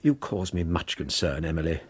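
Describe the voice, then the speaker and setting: Stern, husky English Victorian father. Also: deep voice, expressive, moderate pace. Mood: stern, reproving. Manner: formal, reproving, imperious. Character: stern, pompous Victorian father, master of the house. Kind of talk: 1990s British radio drama acting.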